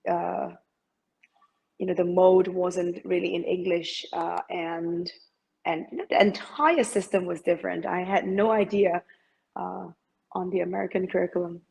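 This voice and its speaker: A woman's voice: lowish voice, flat delivery, unhurried, vocal fry, darkish tone, rough recording. A middle-aged woman from Malaysia, a masters swimmer talking as an interview guest, hesitant with many fillers and restarts. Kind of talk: interview conversation.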